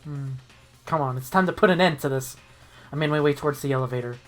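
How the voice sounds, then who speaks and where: A man's voice: a little high, quick pace, faint music behind. A man hosting a playthrough, moving between narration and casual, sweary banter. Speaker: host reading a game aloud.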